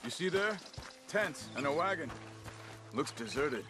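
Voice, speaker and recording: An actor playing a gentle, cautious outlaw companion in a game, earnest and measured. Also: male voice, on the high side, lively intonation. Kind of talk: naturalistic video game dialogue. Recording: loud music and animal sounds behind.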